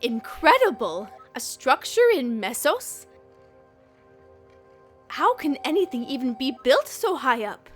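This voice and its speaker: Practical, guarded flyer for a game fan dub, played by a woman: measured pace, expressive lines. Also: sits high, heavily acted. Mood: amazed, cautionary. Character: cautious, reluctant professor's colleague, practical flyer. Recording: music under the voice.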